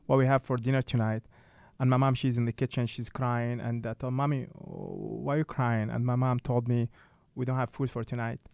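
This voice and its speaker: A man in his thirties with a Tunisian accent, a radio guest telling his story in simple, halting English. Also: medium pitch, sing-song, vocal fry, darkish tone, smooth, no echo. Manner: simple broken English with many restarts. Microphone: studio mic.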